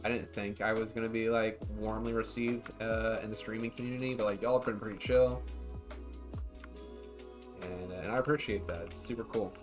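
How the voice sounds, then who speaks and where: A man's voice: lowish voice, little pitch movement, brisk, faint music behind. A man streaming a zoo-building game, chatting casually and rambling to his viewers as he plays. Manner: laid-back, rambling, talks to chat. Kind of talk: casual livestream chat.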